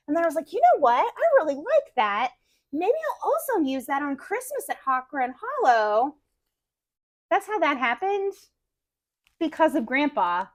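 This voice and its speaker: A woman's voice: sits high, no room sound, studio quality. A woman co-hosting a casual stitching chat, rambling with fillers and restarts while losing her voice a little. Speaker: podcast co-host. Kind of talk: casual chat about cross-stitch projects.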